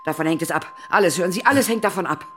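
A woman's voice: fairly low pitch, expressive, rapid-fire, a bit bright, faint music behind. An actress playing an anxious, resolute older woman ghost hunter in a children's radio drama, with a dramatic, urgent delivery. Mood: urgent, commanding. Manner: dramatic, urgent, swinging from muttering to commanding.

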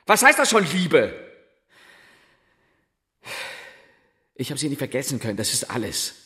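An actor playing a tormented, self-reproaching husband in a German radio drama, halting and brooding with bitter outbursts. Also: male voice, medium pitch, huge pitch swings, bright, forceful tone. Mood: bitter, agitated.

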